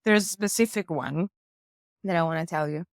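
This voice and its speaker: A woman with an Italian accent, a language teacher and native Italian speaker, chatting expressively as a podcast guest and explaining with lively examples. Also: lowish voice, moderate pace, no echo, studio quality. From Italy. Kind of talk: casual podcast conversation.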